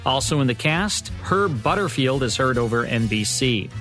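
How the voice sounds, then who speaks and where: A man's voice: medium pitch, animated, moderate pace, fairly bright tone. An American man hosts a radio show. He reads scripted narration in a mid-range voice, with music playing underneath.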